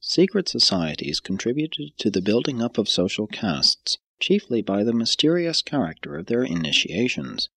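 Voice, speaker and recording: A man narrating an audiobook from a script in a measured, formal way, with deliberate emphasis. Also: mid-range voice, big pitch swings, fairly bright tone, no room sound.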